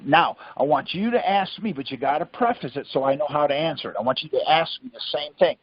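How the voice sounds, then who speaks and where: Higher-pitched, husky man quizzing insistently. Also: fast talker. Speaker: teacher leading a group conference call. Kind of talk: question-and-answer coaching. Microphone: conference phone call. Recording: muffled, lo-fi conference call audio.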